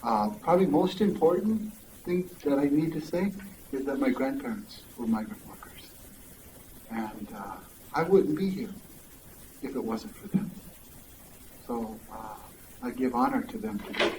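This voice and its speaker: Halting man in an echoey room. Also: mid-range voice, expressive, background noise. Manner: halting, with frequent uh and um fillers. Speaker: coalition member, panelist. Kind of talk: panel discussion. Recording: echoey room from a distant mic.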